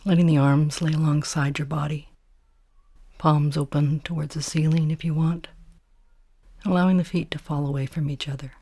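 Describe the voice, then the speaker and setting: Soft, breathy woman guiding meditation. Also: low voice, fairly even intonation, moderate pace, no room sound. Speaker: meditation teacher. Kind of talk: guided body scan meditation.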